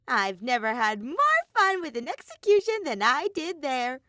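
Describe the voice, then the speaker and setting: A bear mascot villain from an audio story, high-pitched and theatrical. The delivery is gleeful and flippant, with big animated swoops and a callous cheer. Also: female voice, moderate pace, no room sound, clean studio sound, heavily acted.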